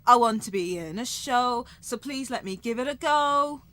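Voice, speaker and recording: A woman's voice: fairly high pitch, lively intonation, moderate pace, sharp tone. A woman playing a deluded, eager young airport check-in clerk in a radio comedy. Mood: pleading. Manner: eager, self-assured, theatrical.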